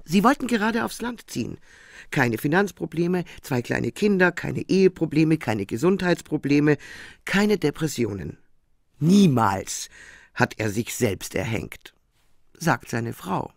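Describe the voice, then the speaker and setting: An actress playing a harried, irritable senior prosecutor in a German radio crime drama, exasperated and clipped. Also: female voice, sits low, big pitch swings, unhurried, vocal fry, no echo, clean studio sound, exaggerated voice. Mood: irritated, sardonic.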